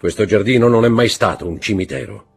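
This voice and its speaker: Man voicing a nobleman count, commanding, raised and loud, forceful, husky, audiobook. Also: mid-range voice, very animated, fairly quick, faint music behind, voice pushed up. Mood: indignant. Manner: forceful, dramatic delivery.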